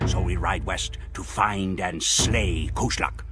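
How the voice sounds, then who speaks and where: A man voicing a grim Mongol warrior who narrates the campaign in measured, solemn storytelling for game cutscenes. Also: deep voice. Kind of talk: scripted dramatic narration. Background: music.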